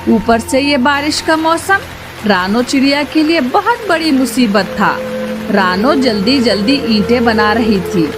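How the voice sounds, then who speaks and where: A woman's voice: fairly high pitch, animated, unhurried. A woman narrating a scripted children's story as the storyteller of a cartoon. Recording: loud music behind the voice.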